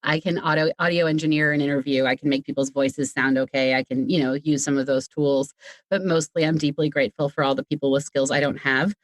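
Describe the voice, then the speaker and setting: A podcast guest, a writer and director, telling stories in a chatty, animated way in a casual interview. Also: mid-range voice, a little fast, no room sound, rough recording.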